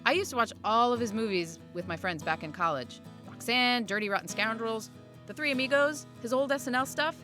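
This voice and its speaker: A woman's voice: fairly high pitch, a little fast, bright, forceful tone, faint music behind. A woman playing a chatty, perky barista with a wry streak in an indie audio drama. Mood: chatty, bright.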